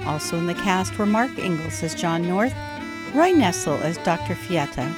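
A woman reading the closing credits of a radio drama in a crisp, formal way. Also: lowish voice, lively intonation, moderate pace. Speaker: announcer. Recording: music bed underneath. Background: violin music.

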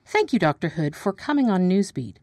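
A woman playing a brisk TV news presenter in a radio drama, formal and pointed in her questioning. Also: on the low side, very animated, a little mellow, no room sound, clean studio sound. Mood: challenging. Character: brisk female TV news presenter turning accusatory interviewer. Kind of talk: radio drama acting, formal broadcast delivery.

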